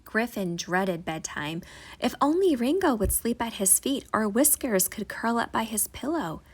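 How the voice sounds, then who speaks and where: A woman reading a children's bedtime story aloud, with a breathy voice and a dramatic, drawn-out storybook delivery. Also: a little high, sing-song. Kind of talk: expressive bedtime story narration.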